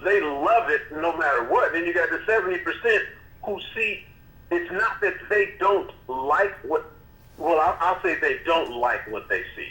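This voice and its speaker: Bright-voiced man in an echoey room. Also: fairly high pitch, moderate pace. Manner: animated, stumbling restarts. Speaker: podcast co-host. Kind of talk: casual chat.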